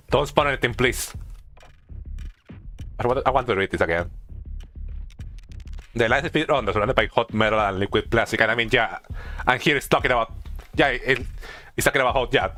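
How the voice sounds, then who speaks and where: A man giving casual video game commentary as a streamer, haltingly thinking aloud and often repeating himself. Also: medium pitch, bright, forceful tone, soft background music.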